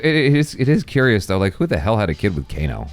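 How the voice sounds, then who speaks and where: A man's voice: fairly low pitch, sing-song, fast, no echo. A man hosting a reaction show, chatting casually in a rambling, slangy way full of hedges. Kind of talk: casual chat.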